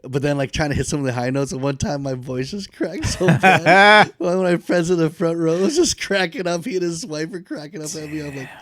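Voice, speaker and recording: A man with a baritone voice co-hosting a podcast, telling stories in casual chat and laughing often. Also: brisk, hardly any pauses. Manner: animated storyteller, laughs often. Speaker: podcast co-host.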